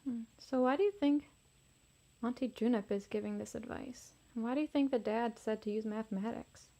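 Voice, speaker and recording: High-voiced woman reading to children. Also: normal speed, mellow, a little hiss or hum. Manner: musing, prompting listeners with questions. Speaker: storybook reader. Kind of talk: children's read-aloud with asides and questions.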